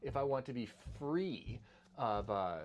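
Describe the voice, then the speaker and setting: A man's voice: medium pitch, lively intonation, measured pace, dull audio. Male doctor, middle-aged, earnest and repetitive, casual health talk to camera. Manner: earnest, repeats words and restarts often. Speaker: doctor giving health advice.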